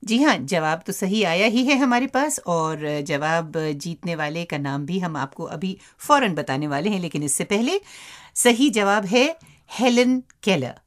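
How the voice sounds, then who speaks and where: Lower-pitched Indian female radio host. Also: lively intonation, a little slow. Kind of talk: conversational radio magazine presenting.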